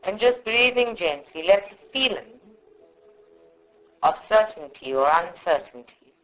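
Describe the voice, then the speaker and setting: A woman guides a meditation at a very slow pace. She speaks in short, measured phrases and leaves long pauses between them. The live recording sounds dull and lo-fi. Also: mid-range voice, expressive. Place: live group meditation session.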